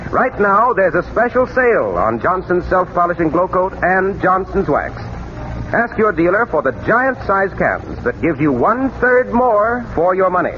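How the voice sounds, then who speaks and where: A man's voice: high voice, very animated, normal speed, lo-fi sound, slight background noise. A male radio announcer reading scripted ads and narration in a polished, upbeat 1930s broadcast style.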